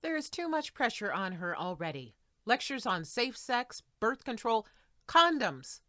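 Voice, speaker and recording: A woman's voice: on the high side, very animated, measured pace, fairly bright tone, no room sound, studio quality. A woman playing a weary, protective mother in an audio drama, speaking in clipped, insistent lines. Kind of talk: naturalistic audio drama acting.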